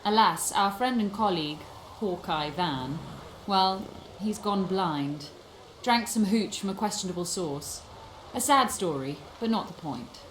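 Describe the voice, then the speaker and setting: A woman voicing a brisk, sly female circus leader in a video game, theatrical, haughty and sharp-witted. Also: medium pitch, expressive, a little hiss or hum, lightly acted. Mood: shrewd, businesslike. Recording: echoey game scene with music.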